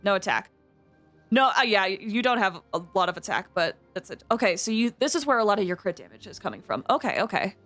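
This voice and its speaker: A woman hosting a livestream, chatting casually with viewers in an expressive, emphatic way. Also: mid-range voice, fairly quick, faint music behind. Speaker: livestream host.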